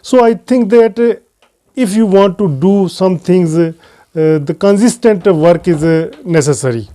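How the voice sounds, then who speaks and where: A man with a Pakistani accent hosting an English-learning channel, talking casually to camera and pausing often on "uh" fillers. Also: high voice, warm tone. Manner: hesitant, frequent "uh" fillers between phrases. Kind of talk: casual unscripted talk to camera.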